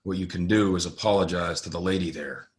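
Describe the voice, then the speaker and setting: A man plays a steely, unflappable stranger in a western radio play. His voice is on the low side, terse and dry, with a mocking edge. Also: fairly even intonation, brisk, close to an everyday voice. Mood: cool, challenging. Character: calm, steely, mysterious stranger in an Old West saloon. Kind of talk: audio play acting, western.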